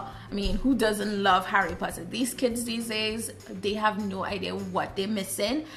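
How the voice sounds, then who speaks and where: A woman's voice: mid-range voice, creaky. A young woman from Trinidad with a Trinidadian accent, talking casually and expressively to the camera in a vlog. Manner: animated, expressive, gestures while talking. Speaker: vlogger.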